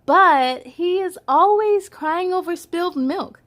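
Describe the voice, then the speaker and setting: Female children's read-aloud host, high voice, animated and playful storytelling delivery. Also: slow pace. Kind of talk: expressive read-aloud for children with chatty asides.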